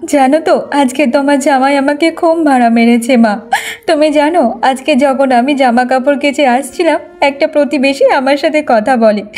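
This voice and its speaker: A woman's voice: sits high, a little mellow, close to an everyday voice. A woman voicing a talkative, aggrieved young village wife in a moral-story cartoon, with a plaintive way of talking.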